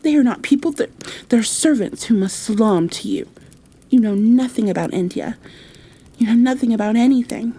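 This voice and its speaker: Woman acting a spoiled little girl, imperious, haughty, demanding, lifted pitch, storybook character. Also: normal speed, dark tone, breathy edge. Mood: furious.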